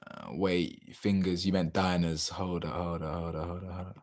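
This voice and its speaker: A young man, chatting casually on a live stream and reading out viewer comments, slangy and animated, his voice a little hoarse. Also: sits low, moderate pace. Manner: slangy, animated, laughs often, drifts between loud bursts and mumbles. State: slightly hoarse.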